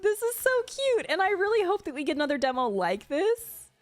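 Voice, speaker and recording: A woman giving casual, gushing reaction commentary in a conversational, expressive way. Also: very high-pitched, a little fast, no echo. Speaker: streamer ranking game character demos. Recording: music playing behind the voice. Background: background music.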